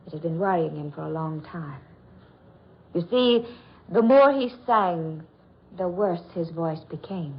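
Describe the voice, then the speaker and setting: Cool defiant captive heroine, old time radio drama, deliberate and dramatic, dull muffled sound. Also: female voice, lowish voice, lively intonation. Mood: cool, pointed. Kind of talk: 1940s radio drama acting.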